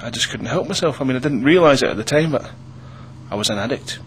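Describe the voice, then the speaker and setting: A man's voice: medium pitch, expressive, quick pace, soft background music, close to an everyday voice. A man playing a remorseful British addict giving a hesitant, earnest confession in a mock documentary interview. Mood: rueful, confessing. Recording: noisy interview with hum.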